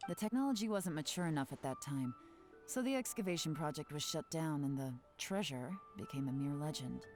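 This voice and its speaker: Low-voiced, commanding lady spymaster. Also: expressive, fairly quick, a little mellow. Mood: composed, explaining. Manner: composed, authoritative, probing. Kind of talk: video game voice acting. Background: game music.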